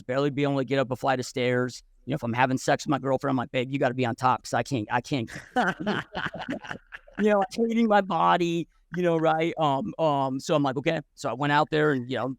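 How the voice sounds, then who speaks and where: Gravelly man telling it straight. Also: medium pitch, no echo, clean studio sound. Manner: blunt, swears freely, says "dude", many restarts. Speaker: podcast guest. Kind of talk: casual storytelling interview.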